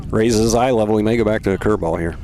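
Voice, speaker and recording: A man's voice: fairly low pitch, very fast, warm tone. A man giving casual color analysis during a live radio baseball broadcast outdoors. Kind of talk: casual sports analysis commentary.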